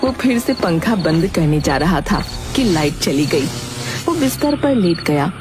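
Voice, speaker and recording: A woman narrates a children's story in a scripted storybook style. Music plays clearly behind her voice throughout. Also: on the low side, big pitch swings, a little slow.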